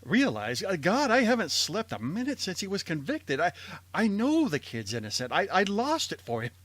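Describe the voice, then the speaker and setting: A man's voice: sits high, huge pitch swings, normal speed, a bit bright, no echo, exaggerated voice. An actor playing a middle-aged lawyer in an old-time radio drama, anxious and guilt-ridden, stammering and hesitant as he talks. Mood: distraught.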